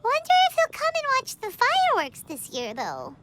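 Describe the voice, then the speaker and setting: Squeaky little fairy sidekick, super high, slow, big game music behind. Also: female voice, huge pitch swings, exaggerated voice. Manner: refers to herself in third person. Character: small floating fairy companion, chirpy and excitable. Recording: game dub with loud background music.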